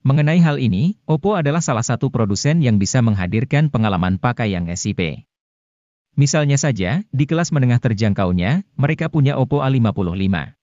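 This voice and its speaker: A man's voice: medium pitch, a little mellow, dry recording, dull audio. A man reads a formal, informative scripted voice-over for a gadget review. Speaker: narrator of gadget review videos. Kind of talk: scripted narration.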